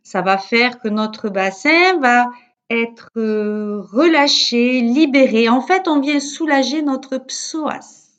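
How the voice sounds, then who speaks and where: A woman yoga teacher guiding a relaxation session in a calm, measured, soothing manner with pauses. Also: fairly high pitch, dull audio. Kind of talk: guided yoga nidra relaxation instruction.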